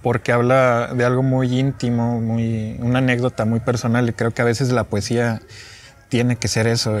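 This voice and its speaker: A young man with a northern Mexican accent, a poet introducing and reciting his own work on an open patio. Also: fairly low pitch. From Chihuahua, Mexico. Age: young adult. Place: open patio with wooden furniture.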